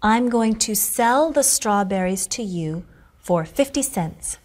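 A woman's voice: medium pitch, lively intonation, slow pace. A young woman playing the student in scripted, simple lesson dialogue, with careful wording. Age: young adult. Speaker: student in an English lesson show. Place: small classroom set, indoors.

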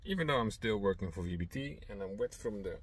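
A man with a Dutch accent, a native Dutch speaker, talking casually and a bit haltingly as he shows and explains things in a truck vlog. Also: on the low side, expressive, slightly rough. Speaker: truck driver and vlogger. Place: inside a truck cab.

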